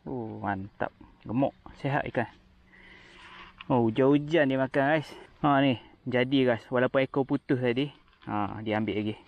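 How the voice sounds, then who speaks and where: A man with a Kelantanese Malay accent chatting casually through a fishing vlog, with quick excited exclamations, filmed outdoors on a riverbank with a body-worn action camera. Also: medium pitch, creaky, highs cut off. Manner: chatty, excited exclamations while reeling fish. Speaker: fishing vlogger. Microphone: chest- or head-mounted action camera.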